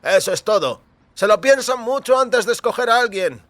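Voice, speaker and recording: An actor playing a chatty small-town taxi driver in an audio drama, wary but helpful, with an emphatic, confiding delivery. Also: male voice, very high voice, expressive, normal speed, fairly bright tone, no room sound. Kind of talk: audio fiction dialogue in Spanish.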